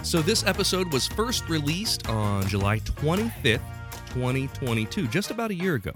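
A man's voice: on the high side, sing-song, normal speed. A man hosting a podcast, narrating history in a scripted documentary style. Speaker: podcast host. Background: background music.

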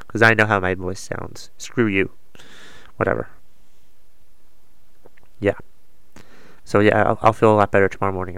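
A man's voice: fairly low pitch, creaky, fairly bright tone, no echo. A man with a cold, slightly congested, hosting his own podcast in a rambling, self-deprecating, casual way. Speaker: podcast host. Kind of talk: casual solo podcast monologue.

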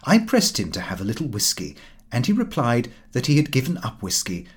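A man narrating a literary diary as an audiobook, formal, precise and expressive. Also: medium pitch, a little fast, slightly rough.

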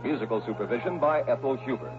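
A man's voice: medium pitch, fast. Casting: mid-range American male announcer, formal scripted 1960s radio read, thin phone-like sound, music behind. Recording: thin, band-limited vintage radio with music.

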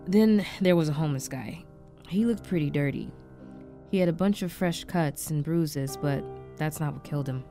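Woman playing compassionate wife, audio drama, lowish pitch, warm dark tone, hushed, halting, stammering, shaken. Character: shaken, compassionate wife trapped in frozen time. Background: background music.

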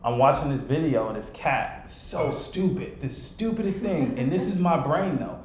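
A man's voice: on the high side, lively intonation, moderate pace, darkish tone, a little hiss or hum. A man hosting a podcast who tells rambling, self-reflective stories and swears casually. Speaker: podcast host. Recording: echoey room.

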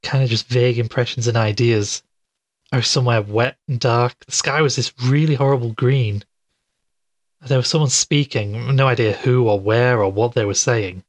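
A tired, sceptical podcaster in an audio drama, played by a man. His voice sits at a middling pitch and is recorded very dry. He talks casually and without fuss, like someone telling his own mystery. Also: steady tone, moderate pace, no room sound, close to an everyday voice. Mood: tired, subdued.

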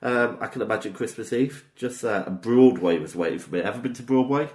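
A man's voice: medium pitch, fairly quick, a little mellow. A British man co-hosting a podcast in casual comic chat, teasing and playful. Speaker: podcast co-host. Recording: roomy echo.

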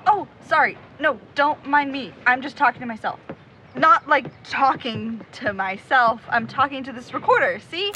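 A woman's voice: high-pitched, lively intonation, normal speed, bright, forceful tone. A young woman playing an eager, chatty amateur investigator in an audio drama, perky and a bit flustered, as if talking into a recorder. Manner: perky, flustered, talks to herself. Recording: clearly noisy background ambience.

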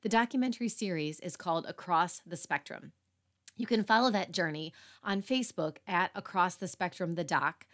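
A woman hosting a parenting podcast, slightly hoarse, talking earnestly with fillers. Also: lowish voice, normal speed, fairly bright tone. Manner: earnest, apologetic asides with fillers. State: slightly hoarse after illness. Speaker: podcast host. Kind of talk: podcast intro and interview.

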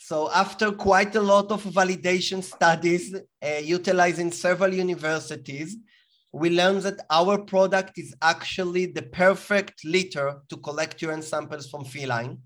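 A man from Israel speaks with an Israeli accent in a slightly higher-than-usual voice. As an interview guest, he explains things patiently, with fillers and restarts along the way. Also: steady tone, normal speed, a bit bright. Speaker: guest, company founder. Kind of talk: interview answers.

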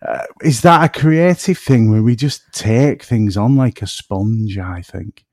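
A man with a northern English accent, from between Manchester and Liverpool, speaking in a lowish voice. He rambles in a relaxed, self-deprecating way and drifts off topic as a podcast guest. The sound is close and dry, with no room echo. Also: a little slow. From North West England. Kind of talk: casual chat.

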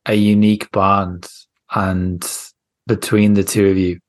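A man's voice: sits low, level tone, a little slow. A man hosting a podcast, asking casual interview questions, hesitant, with many fillers and restarts. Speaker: podcast host.

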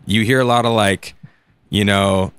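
A man in a casual group discussion, thinking aloud in a halting way and repeating words as he goes. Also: on the low side, steady tone, a bit bright, a little hiss or hum. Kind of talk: casual panel chat.

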